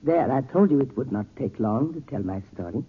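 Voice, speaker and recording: A man's voice: mid-range voice, animated, fairly quick, natural voice. An actor playing a proud, polite old man in a 1940s radio drama, speaking with formal courtesy. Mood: courteous, calm. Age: older. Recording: tinny, noisy 1940s radio recording.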